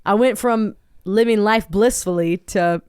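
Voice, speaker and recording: A woman's voice: mid-range voice, measured pace, darkish tone, smooth, no echo, clean studio sound. A woman in her twenties co-hosting a podcast, telling stories in a rambling, casual way and swearing freely. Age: 20s. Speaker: podcast co-host. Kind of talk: casual chat.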